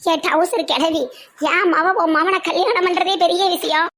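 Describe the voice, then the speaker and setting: A haughty bride's mother throws blunt taunts at the other family, as a performer voices her. The voice is extremely high and bright. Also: steady tone, measured pace, slight background noise, heavily acted. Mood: taunting. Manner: taunting, blunt rural speech. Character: proud, sharp-tongued bride's mother.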